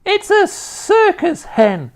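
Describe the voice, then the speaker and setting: A bossy old grandmother from a children's storybook, screeches far above an ordinary speaking voice. She drags out her words in shrill, gloating yells, swooping dramatically in pitch. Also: huge pitch swings, exaggerated voice. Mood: mocking. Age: older. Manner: shrill yelling, scolding, drawn-out words.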